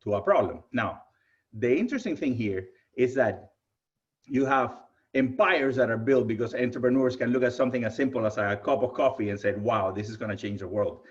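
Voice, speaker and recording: Keynote tech speaker, male, Cuban Spanish accent, lively and friendly, lo-fi video call sound. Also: medium pitch, brisk. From Cuba. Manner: enthusiastic, friendly, addresses audience as "you guys". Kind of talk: virtual presentation talk. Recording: muffled lo-fi video call audio.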